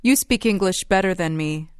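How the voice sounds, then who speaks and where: A woman reading the lines of a friendly speaker in a scripted English-lesson dialogue, with careful, even delivery. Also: on the low side, moderate pace, smooth, no echo, clean studio sound, natural voice. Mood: plain friendly. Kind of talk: slow scripted textbook dialogue.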